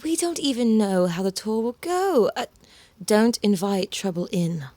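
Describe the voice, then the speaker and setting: An actress playing a cautious, practical woman park staffer in an audio drama, measured and a little hesitant. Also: mid-range voice, very animated, mellow, dry recording. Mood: cautious, reluctant.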